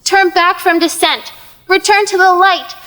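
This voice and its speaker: A woman's voice: extremely high pitch, fairly even intonation, unhurried, bright, forceful tone, full character voice. A woman playing a fretful, theatrical older lady who scolds and implores in an audio play. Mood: grave, imploring. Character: fretful, melodramatic older Mrs. Lee, pleading with gods.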